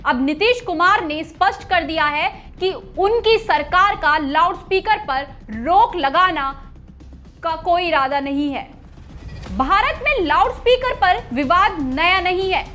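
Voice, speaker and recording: A woman's voice: very high voice, pushed and bright. A woman news anchor reading a scripted news report.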